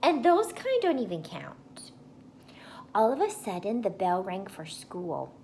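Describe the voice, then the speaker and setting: A woman voicing an excitable, boastful little schoolgirl in a children's storybook, with childish grammar and gushing emphasis. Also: mid-range voice, a little slow, slight background noise. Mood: relieved, emphatic. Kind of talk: first-person children's storybook read-aloud.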